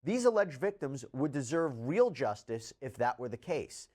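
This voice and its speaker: A man with an average-pitched voice speaks in the style of a news report. He reads out statements in a measured, deliberate way, putting weight on important phrases. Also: sing-song, darkish tone. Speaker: news commentator.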